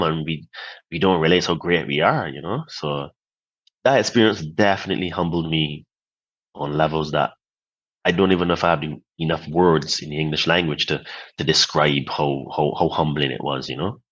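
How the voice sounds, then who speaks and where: A man's voice: low voice, fairly bright tone, muffled recording. A middle-aged man from Barbados, a podcast guest telling his story in short reflective phrases, with many "you know"s.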